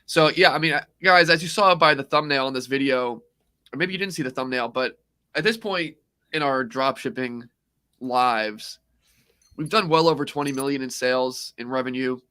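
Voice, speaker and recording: Man co-hosting a livestream, hoarse voice, bright and forceful, rambling, upbeat and jokey. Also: medium pitch, normal speed. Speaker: co-host. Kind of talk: casual livestream chat.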